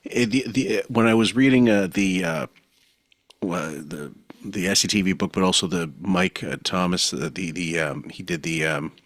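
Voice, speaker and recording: A man's voice: low-pitched, moderate pace, fairly bright tone, no echo, clean studio sound. A man hosting a podcast, talking casually and rambling, with many fillers and laughs. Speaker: podcast host.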